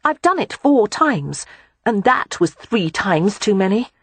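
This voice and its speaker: A woman's voice: medium pitch, measured pace, breathy edge, dry recording, pitched above the performer's own voice, exaggerated voice. A woman voicing a playful, wry young woman. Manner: wry, lively.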